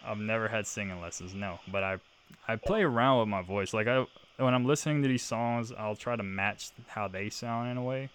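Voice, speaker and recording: Brief: deep-voiced man, twenties, laid-back podcast co-host, noisy recording. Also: brisk, fairly bright tone. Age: 20s. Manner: laid-back, joking, laughs often. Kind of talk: casual podcast banter.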